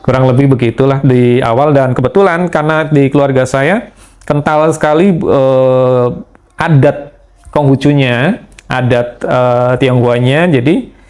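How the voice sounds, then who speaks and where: A middle-aged man from Indonesia speaks as an interview guest, recounting his past at a steady, measured pace. He pauses often and fills gaps with short hesitations. His voice sits at an ordinary pitch for a man. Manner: measured, with frequent pauses and fillers. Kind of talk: conversational testimony.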